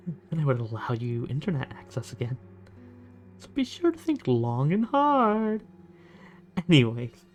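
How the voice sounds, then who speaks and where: A man playing a gently menacing, obsessive lovestruck fan in an ASMR roleplay, intimate and teasing, sometimes dropping to a whisper. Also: medium pitch, close to an everyday voice. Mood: playful menace. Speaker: amateur voice actor.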